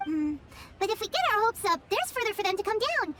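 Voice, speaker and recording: A woman voicing a tiny, chirpy, childlike fairy companion with a squeaky voice in a video game. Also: sing-song, fairly quick, heavy background noise. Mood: curious, explaining. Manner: perky, speaks of self in third person. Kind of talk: video game character acting. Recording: loud background music.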